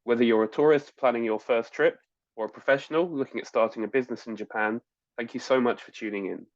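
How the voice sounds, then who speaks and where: A man's voice: mid-range voice, quick pace, no room sound, muffled recording. A man hosting a travel podcast, friendly and chatty, summing up the guest's answers. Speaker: podcast host. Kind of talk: podcast interview.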